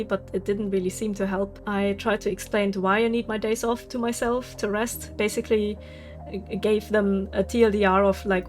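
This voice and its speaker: A woman's voice: mid-range voice, darkish tone. Candid woman in her thirties. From Germany. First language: German. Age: 30s. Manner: candid, venting, swears freely, laughs often. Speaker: vlogger. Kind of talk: casual vlog monologue.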